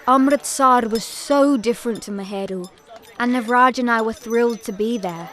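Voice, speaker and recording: A woman's voice: on the high side, moderate pace, a bit bright, natural voice. A woman playing an earnest young pilgrim who narrates a family tragedy in a scripted audio drama.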